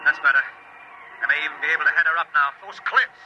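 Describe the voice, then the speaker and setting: A man's voice: on the high side, a little fast, close to an everyday voice. An actor playing a frantic crewman in a 1950s radio drama, calling out in short, urgent exclamations. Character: frantic crewman battling a deadly windstorm. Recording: muffled old radio recording, loud storm noise.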